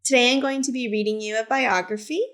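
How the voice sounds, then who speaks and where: A woman reading a picture book aloud to children as a teacher librarian, in simple, deliberate phrases with short asides. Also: on the high side, steady tone, fairly bright tone. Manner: simple, deliberate phrasing addressed to young listeners.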